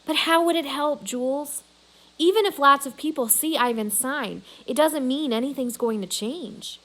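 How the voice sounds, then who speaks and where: A woman voicing a weary, skeptical but soft-hearted father in a measured way, in a children's novel read aloud. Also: high-pitched, pitched above the performer's own voice.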